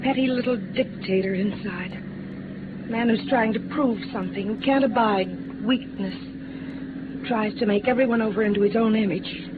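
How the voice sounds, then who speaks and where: High-voiced, distressed young wife played by an actress. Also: female voice, normal speed, natural voice. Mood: bitter. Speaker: radio drama actress. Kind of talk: 1950s radio noir acting. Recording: tinny, very noisy old radio recording.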